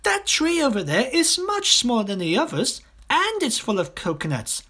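Excitable storybook schoolkid, male reader, pitched well up, eager and exclaiming. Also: normal speed, breathy edge, full character voice. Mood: excited. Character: excited, eager young schoolchildren and small boy. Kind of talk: children's storybook character voice.

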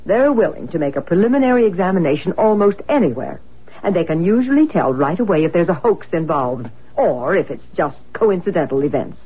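A woman's voice: lowish voice, very animated, a little fast, slightly rough. A woman playing an earnest, insistent mother-in-law fascinated by spirits, in vintage radio drama style. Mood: persuasive. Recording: muffled lo-fi old radio broadcast.

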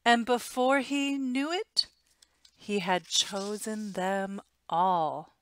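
Slow-paced American woman reading to children. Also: medium pitch. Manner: expressive, deliberate, simple storytelling for young children. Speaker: storytime reader. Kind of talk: reading a children's picture book aloud. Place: small room at home. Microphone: headset.

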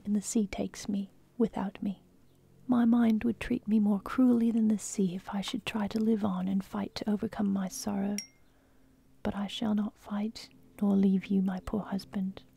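A woman's voice: mid-range voice, gravelly, breathy edge, full character voice. Grief-stricken wife, whispered by a woman. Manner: soft, slow unvoiced whisper. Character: grieving young wife, desperate and devoted. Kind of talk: whispered storytelling.

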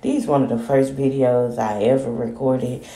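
A woman chats her way through a home recipe, easygoing and talkative. Her voice sits low and dark, with a gravelly fry running under it. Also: moderate pace. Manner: relaxed, chatty, talks viewers through steps. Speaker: home cooking vlogger. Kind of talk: casual cooking vlog, voice-over narration. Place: home kitchen.

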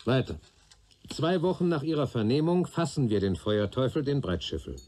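An actor playing a shrewd, patient police investigator in a German radio play, probing and deliberate, with a dry sardonic authority. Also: male voice, medium pitch, sing-song, a little mellow, a little hiss or hum, lightly acted. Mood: stern, warning. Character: shrewd, patient male police detective questioning a young man.

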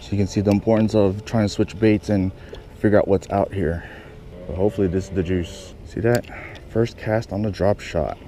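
Man, very low voice, casual fishing vlogger talking to himself, action-cam audio with clear outdoor noise. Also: fairly even intonation, moderate pace. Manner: muttering to himself, swears often. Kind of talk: casual talk while fishing. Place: small kayak on a lake outdoors. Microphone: head-mounted action camera.

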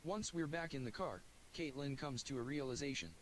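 A gaming video maker reading a visual novel's narration aloud in a plain, steady manner, recorded at a desk at home. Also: male voice, mid-range voice, normal speed, slight background noise. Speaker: gaming YouTuber.

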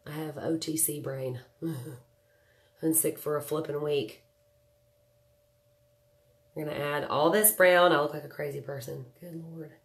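A woman in her thirties with a nasal voice from a cold, chatting casually with viewers while doing her makeup at home. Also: deep voice. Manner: chatty, joking, greets viewers by name. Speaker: makeup livestream host. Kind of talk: casual live makeup tutorial chat. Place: small home room indoors.

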